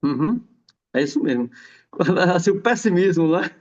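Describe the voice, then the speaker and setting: A man's voice: high-pitched, big pitch swings, moderate pace, a little mellow. A man explaining a health topic in a casual, rambling chat, often adding a questioning "right?". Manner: rambling, many tag questions. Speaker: guest explaining homeopathy. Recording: dull lo-fi sound.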